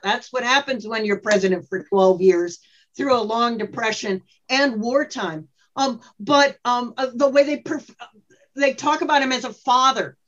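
A woman's voice: on the high side, fairly bright tone, lo-fi sound. A woman speaking as a podcast panelist in a casual, chatty, rambling discussion.

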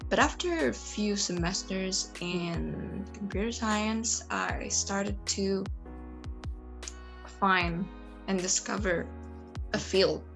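A young woman whose first language is Indonesian, speaking English with frequent pauses and restarts. Her voice sits at an ordinary pitch for a woman. Faint music plays underneath. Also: creaky, a bit bright. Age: young adult. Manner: hesitant, many fillers and restarts. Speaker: interview guest, computer science student. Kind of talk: casual conversational interview.

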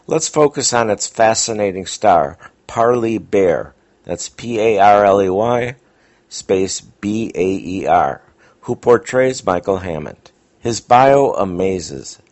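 A man's voice: fairly low pitch, lively intonation, a little slow, darkish tone. A man hosting and introducing old radio episodes in scripted narration, on a dull recording. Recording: dull, lo-fi sound.

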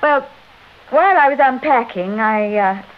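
An actress playing a poised, chatty, gently teasing British detective's wife, well-mannered and expressive, in a radio drama. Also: female voice, a little high, a little slow. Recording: dull, hissy old radio recording.

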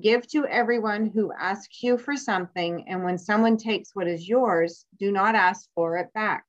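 A woman reading scripture aloud in church, with a loud, friendly, steady delivery. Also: medium pitch, moderate pace, dull audio. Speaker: scripture reader.

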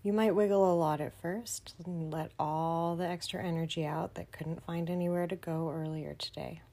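A woman leading a children's bedtime meditation in a soothing, gentle manner, often dropping to a breathy near-whisper. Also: on the low side, steady tone, normal speed. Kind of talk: guided bedtime meditation for kids.

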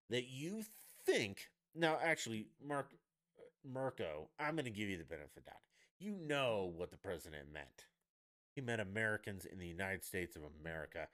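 A man hosting a solo podcast, ranting sarcastically with lots of swearing and mocking asides. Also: pushed and bright. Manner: mimics others in high mocking voices. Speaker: podcast host. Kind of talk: solo opinion rant.

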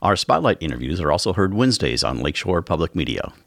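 A man's voice: low voice, very animated, fast talker, gravelly, no echo, clean studio sound. A man co-hosting a weekly public radio arts program, reading station announcements and introducing a guest. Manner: reads announcements, then loose with fillers. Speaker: radio show co-host.

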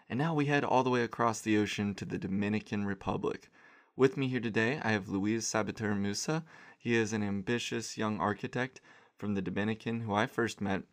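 Male podcast host, low register, heavy vocal fry; easygoing interviewer.

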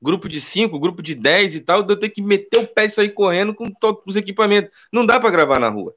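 This is a man with a Carioca Brazilian accent, talking politics in a casual, emphatic way and repeating his key phrases. His voice sits a little on the high side for a man, and he drops street slang into his talk. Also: lively intonation, fast talker, fairly bright tone, a little hiss or hum. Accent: Carioca Brazilian Portuguese. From Rio de Janeiro, Brazil. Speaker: political YouTuber.

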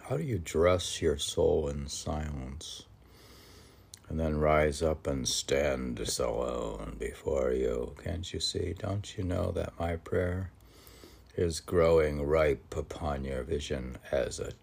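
A man with a very low, gravelly voice hosts a poetry reading. He moves between verse and rambling casual remarks. His musing is halting and unhurried, often questioning himself. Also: a little mellow. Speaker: podcast host reading poetry.

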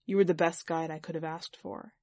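A woman plays a gentle man in an audiobook story, calm and resigned. The delivery is soft-spoken and the sound is dry and close. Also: deep voice, brisk, no room sound, voice pushed down. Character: gentle, resigned man volunteering for a lonely mission.

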